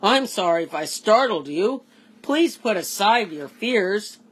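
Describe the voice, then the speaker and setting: A woman's voice: medium pitch, expressive, measured pace, slight background noise, natural voice. A woman voicing a sly, polite man who deals in oddities, in a children's storybook. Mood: polite, curious. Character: sly, polite male dealer in alien oddities. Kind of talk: children's storybook read-aloud.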